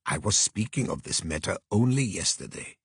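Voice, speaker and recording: A man voicing a polite, deliberate private detective who speaks formally and precisely in an audiobook. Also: low voice, animated, vocal fry, slightly rough, no echo, studio quality, exaggerated voice. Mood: thoughtful. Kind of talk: audiobook character voice.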